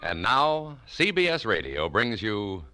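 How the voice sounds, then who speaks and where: A man's voice: lowish voice, very animated, a little slow. A man announcing a radio serial in a scripted vintage style, with music behind. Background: faint music.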